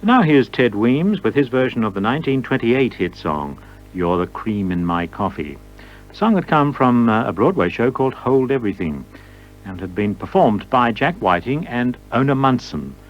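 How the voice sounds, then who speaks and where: A man's voice: medium pitch, big pitch swings, moderate pace, muffled recording. A man hosting a music show, commenting casually on old records with rambling, conversational asides. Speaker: radio music show host.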